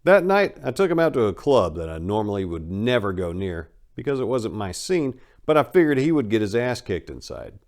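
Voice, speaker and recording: A man's voice: lowish voice, very animated, brisk, few pauses, a little mellow. A man narrating a first-person memoir as a dramatic reading, with emphatic stresses and asides that trail off.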